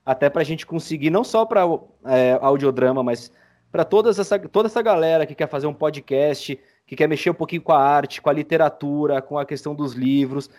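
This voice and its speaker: A man's voice: a little high, fairly quick, darkish tone. A man with a Brazilian Portuguese accent speaking earnestly and emphatically as a guest in a casual interview. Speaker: podcast guest, audiodrama creator.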